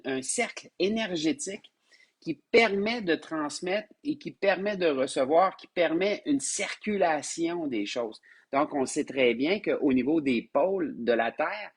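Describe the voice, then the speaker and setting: A man with a Québécois French accent teaches a spiritual class live, his voice hoarse and husky from a sinus infection. His voice sits a little high for a man. He stumbles over his words, repeats himself and speaks to his listeners tenderly as his loves. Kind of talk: live-streamed spiritual lesson.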